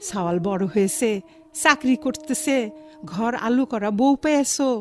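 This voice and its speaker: Sweet old homeless auntie, rural bangladeshi accent, highish lively voice, repeats herself, radio play. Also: female voice. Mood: tender. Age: older. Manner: halting, pleading, repeats phrases. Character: affectionate, apologetic old vagrant auntie taken in. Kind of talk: Bengali radio drama acting.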